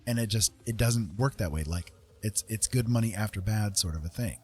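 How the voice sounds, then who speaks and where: Husky, low-voiced man chatting casually. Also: brisk, darkish tone. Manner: informal, rambling, often checks in with "right?" and "you know". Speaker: livestream host giving advice. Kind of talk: casual advice chat to viewers.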